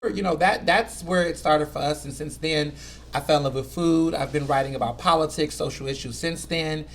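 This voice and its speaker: A man in his thirties from Houston with a light Southern accent, chatting as a guest about his work as a food editor, animated and full of "you know". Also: a little high, normal speed. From Houston, Texas. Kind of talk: casual conversation.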